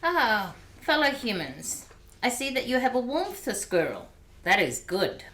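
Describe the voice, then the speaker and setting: A woman playing a kindly female artificial intelligence in a radio play, speaking formally and deliberately. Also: on the high side, sing-song, fairly bright tone. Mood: warm greeting. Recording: roomy, echoey amateur recording.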